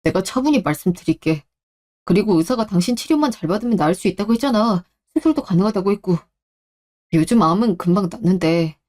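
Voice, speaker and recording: A young husband in a radio drama, voiced by a woman. He is caring and protective, speaking earnestly and firmly at a middling pitch for a female voice. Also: animated, a little fast, darkish tone, natural voice. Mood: protective, reassuring. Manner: earnest, firm. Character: protective young husband, caring but exasperated with his mother. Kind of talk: Korean radio drama acting.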